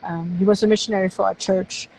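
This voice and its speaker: German-accented woman, halting and hesitant. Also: medium pitch, fairly even intonation, warm tone, a little hiss or hum, low-quality recording. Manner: hesitant, with frequent fillers and restarts. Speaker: guest author. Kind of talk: casual live-stream interview.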